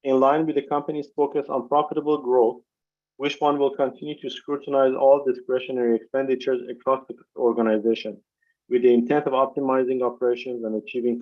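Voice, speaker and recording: Formal male executive on a dull-sounding call. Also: mid-range voice. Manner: formal, measured reading from prepared remarks. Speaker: company executive presenting financial results. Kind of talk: scripted corporate earnings presentation. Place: video conference call. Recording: dull, lo-fi conference call audio.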